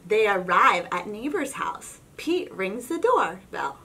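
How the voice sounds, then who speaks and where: A woman reading a children's storybook aloud in an expressive, suspenseful narrating style. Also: mid-range voice, slow pace, slightly rough, far from the mic. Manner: expressive, suspenseful, with whispers and exclamations. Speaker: storybook reader.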